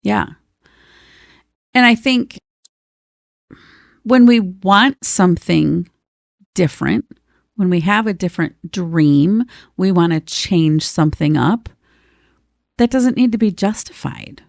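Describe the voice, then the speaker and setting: A woman's voice: fairly low pitch, lively intonation, slow pace, gravelly, pauses often, no room sound, studio quality. A woman co-hosting a conversational personal-growth podcast, speaking in a reassuring, emphatic way and drawing out key words. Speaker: podcast co-host.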